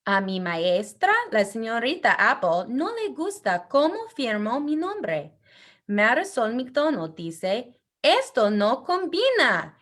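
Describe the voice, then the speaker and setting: Woman, higher-pitched, slow pace, enthusiastic, children's storybook reading, language teacher. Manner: expressive, enthusiastic. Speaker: bilingual language teacher and read-aloud co-host. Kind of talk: children's storybook read-aloud. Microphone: video call.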